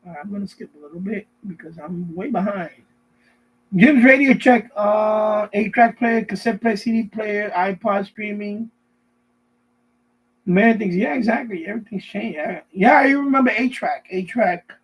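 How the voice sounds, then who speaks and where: High-pitched husky middle-aged man rambling casually. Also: moderate pace, rough recording. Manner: rambling, repeats himself often. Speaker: live stream host. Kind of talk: casual live stream chat. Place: basement.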